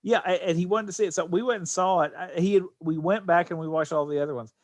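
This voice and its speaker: A man's voice: high-pitched, fast talker, dry recording, studio quality. A middle-aged man chatting casually as a podcast co-host.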